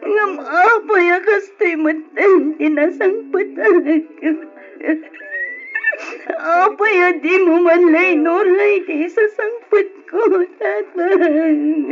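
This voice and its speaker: An actress with an Ilocano accent playing an affectionate young daughter in a radio drama. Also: female voice, extremely high pitch, drawn-out words, exaggerated voice. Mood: anguished grief. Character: affectionate young woman, daughter coming home, later grieving. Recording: dull lo-fi radio recording, music behind.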